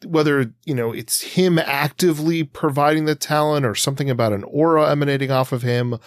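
A man's voice: on the high side, a little fast, dry recording. A man hosting a podcast, talking through comics analytically in long, winding, hesitant sentences as he thinks aloud. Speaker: podcast host. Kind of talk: casual analytical discussion of comics.

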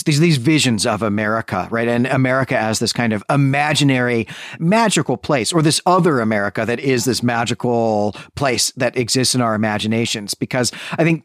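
A man's voice: mid-range voice, no room sound, clean studio sound. A man co-hosting a podcast, giving a conversational literary recap in a chatty, emphatic way, often checking in with the listener. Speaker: podcast co-host.

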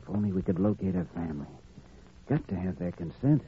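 An American actor playing a determined, compassionate hospital doctor in a 1940s radio drama. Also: male voice, low voice, expressive, brisk, a little hiss or hum. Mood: urgent, resolute. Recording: old radio recording, tinny narrow band.

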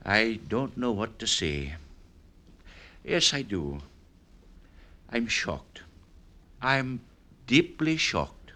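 A proper nineteenth-century merchant in an old radio drama. His lowish voice has a bright, forceful edge and swings expressively. He is formal and stiff, halting as he picks his words. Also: sing-song, exaggerated voice. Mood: shaken. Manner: formal, halting, measured delivery. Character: stiff, shocked Scottish merchant suitor, formal and hesitant. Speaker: radio actor. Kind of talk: 1950s radio drama acting.

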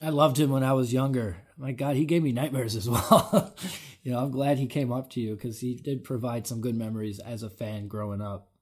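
A man's voice: mid-range voice, fairly even intonation, a little fast, husky. A man co-hosting a podcast, upbeat as he reads out listener questions and plugs a website. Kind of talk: casual interview chat.

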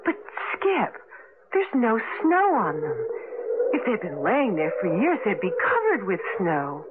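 A woman's voice: mid-range voice, wildly swooping pitch, unhurried. An actress playing an anxious, hesitant American woman, frightened and lost, in a radio drama. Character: frightened woman lost with her husband in a deserted town. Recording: noisy, lo-fi radio broadcast recording.

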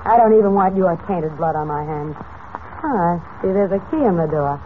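A woman's voice: fairly low pitch, animated, normal speed, close to an everyday voice. Tinny old radio sound, faint noise, actress playing cool young female crook, commanding, mocking, 1940s serial style. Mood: mocking triumph.

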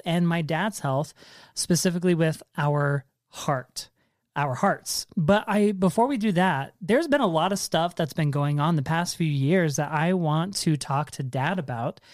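A man's voice: fairly high pitch, dry recording, clean studio sound. A man hosting a casual podcast with his father, talking in a playful, jokey way. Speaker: podcast host. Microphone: handheld recorder.

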